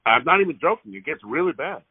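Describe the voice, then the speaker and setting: A man's voice: fairly high pitch, big pitch swings, fast talker, husky, dry recording. A man calling a transportation office from his car to complain about traffic, rambling and hesitant but pressing his point. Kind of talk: phone call. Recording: tinny phone line, dry.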